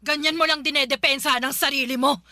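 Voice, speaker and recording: A woman's voice: high-pitched, steady tone, fairly quick, bright, forceful tone, dry recording, studio quality. A woman acting the part of a hurt, angry wife in a Tagalog radio drama, accusing and insistent. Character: hurt, furious wife confronting her cheating husband.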